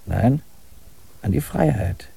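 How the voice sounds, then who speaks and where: A man playing a calm, dreamy camper in a radio play dialogue. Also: mid-range voice, takes it slowly, creaky, breathy edge, no room sound.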